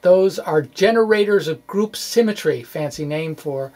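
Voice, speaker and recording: A man giving an informal physics lecture, thinking aloud haltingly with folksy, colloquial asides. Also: fairly high pitch, lively intonation, a little mellow. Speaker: physics explainer presenting his own blog.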